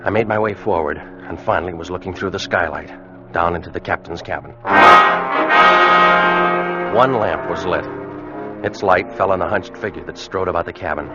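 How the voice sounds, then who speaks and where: Deep-voiced haunted American sailor, played by a man. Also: natural voice. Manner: grave, haunted, measured storytelling. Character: weakened, fearful American sailor recording his doom. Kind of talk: first-person radio drama narration. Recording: muffled vintage radio recording, music behind. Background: dramatic music.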